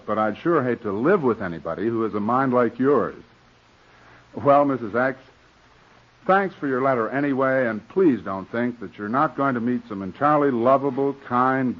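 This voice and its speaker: Dull old radio recording, male host, medium pitch, formal, courteous, wry. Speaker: host and director. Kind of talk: 1940s radio hosting, scripted address to listeners.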